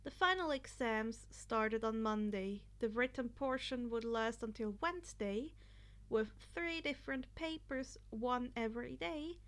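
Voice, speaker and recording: A woman reading scripted story narration as an audiobook narrator. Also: high voice.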